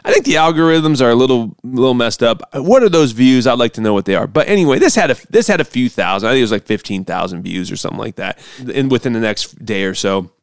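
A man, a pastor and show host, chatting casually and telling stories in an animated way, acting out quotes. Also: medium pitch, fast talker, few pauses, smooth, no room sound, studio quality. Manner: animated, acts out quotes mockingly. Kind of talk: casual chat and storytelling.

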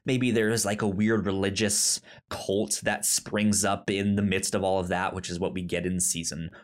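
A man co-hosting a casual TV review podcast, speaking haltingly and often restarting his words. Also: deep voice, flat delivery. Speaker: podcast co-host.

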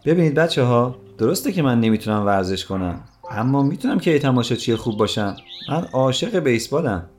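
A man's voice: medium pitch, animated, darkish tone, natural voice. Male actor for proud, earnest father in an audio story; direct, emphatic delivery, music underneath. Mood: gentle, upbeat. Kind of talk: dramatized story dialogue.

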